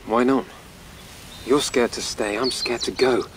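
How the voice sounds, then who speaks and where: A man's voice: medium pitch, fairly even intonation, unhurried, fairly bright tone, slight background noise, close to an everyday voice. A male actor playing a thoughtful, anxious rabbit in a radio drama, questioning and wary in manner. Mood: anxious, resolved.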